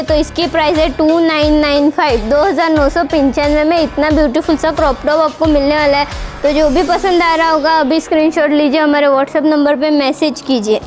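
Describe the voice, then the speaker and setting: A young woman presenting outfits in a shop, with upbeat and repetitive sales patter, recorded on a lapel mic. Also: high-pitched. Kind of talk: product showcase sales pitch. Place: indoor shop with green wall.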